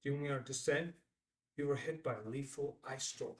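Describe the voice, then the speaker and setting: Male actor as charismatic climber-author, late thirties, theatrical drama delivery, weighty pauses, medium pitch, echoey distant recording. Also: lightly acted. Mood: solemn, reverent. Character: charismatic best-selling mountaineer, late thirties, slick and self-mythologizing. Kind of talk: dramatic acting at a table read. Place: indoor room at a filmed table read. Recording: echoey room, distant microphone.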